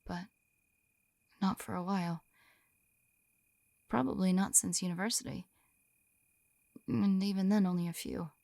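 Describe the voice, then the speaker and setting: Soft whispery, creaky young lamia woman, acted by a woman. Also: dry recording, clean studio sound. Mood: shy, confiding. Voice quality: soft, breathy whispering mixed with low murmurs. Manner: hesitant, tender, reassuring. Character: gentle, shy, affectionate lamia woman on first date. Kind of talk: intimate ASMR roleplay, soft-spoken and often whispering. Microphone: close-up microphone, dry sound.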